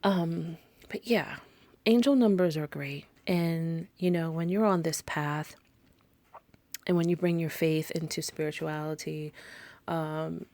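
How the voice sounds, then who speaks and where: A woman's voice: sits low, fairly even intonation, takes it slowly, dark tone, no room sound. A woman with a soothing voice in a rambling, casual spiritual monologue for her podcast, full of fillers and restarts. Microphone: close microphone.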